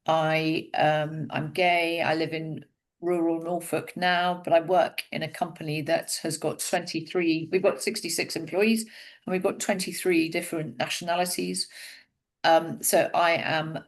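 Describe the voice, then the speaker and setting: A middle-aged woman, sharing openly as a workshop participant with halting restarts. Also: low voice, level tone. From Wales. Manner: chatty, halting restarts, light laughs.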